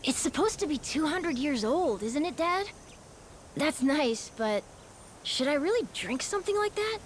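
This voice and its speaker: Innocent, high-pitched child. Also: female voice, very animated, measured pace, a little hiss or hum. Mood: hesitant. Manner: innocent, questioning. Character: innocent, curious young child. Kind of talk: English dub video game voice acting.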